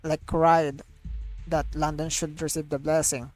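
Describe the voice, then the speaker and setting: A woman reacts to a game story on stream in a low, slow voice. She talks casually and haltingly, circling back over her words, with faint music behind her. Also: little pitch movement, a little mellow. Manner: halting, searching for words, repetitive. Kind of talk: casual live game commentary.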